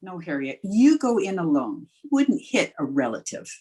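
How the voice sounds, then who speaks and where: Woman playing a wry, animated schoolteacher. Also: on the low side, moderate pace, husky. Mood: wry, brisk. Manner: dry, wisecracking. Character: wry, quick-witted female schoolteacher. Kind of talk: old-time radio comedy acting.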